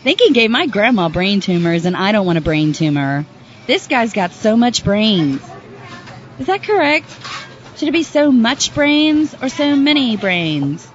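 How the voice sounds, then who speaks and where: A woman's voice: a little high, big pitch swings, measured pace, muffled recording, slight background noise. A woman playing a ditzy, earnest, muddle-headed voter, naive and puzzled, in a comedy street interview. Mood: naive confusion.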